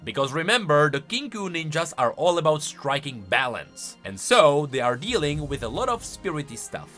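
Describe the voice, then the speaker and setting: Bright-voiced guy streamer explaining game lore, super animated and playful, bit of music under him. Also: a little high, moderate pace. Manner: playful, emphatic, swooping delivery. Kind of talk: casual reaction and explainer commentary.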